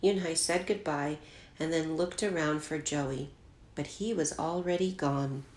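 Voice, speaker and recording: A woman's voice: low voice, fairly even intonation, a little slow, a little mellow. A woman teacher reading a picture book aloud in a careful storytelling style for children. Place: indoors at home, echoey room. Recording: roomy, echoey home recording.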